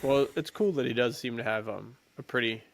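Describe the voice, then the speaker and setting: Guy podcast host w lots of vocal fry, just chatting movies, slangy n halting. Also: mid-range voice. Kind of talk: casual movie chat.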